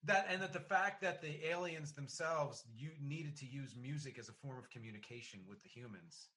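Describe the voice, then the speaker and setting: A man in a conversational interview, talking in an analytical, halting way with emphasis on key words, as a podcast host would. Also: medium pitch, pushed and bright. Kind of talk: conversational interview about music and film.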